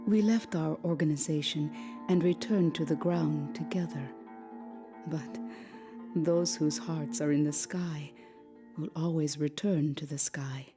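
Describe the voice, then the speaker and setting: An actress playing a grieving former fighter pilot, giving a measured, reflective testimony that often drops to a breathy hush. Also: female voice, deep voice, over music. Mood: grieving, resigned. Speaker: former wingman recounting the war. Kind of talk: dramatic documentary-style testimony.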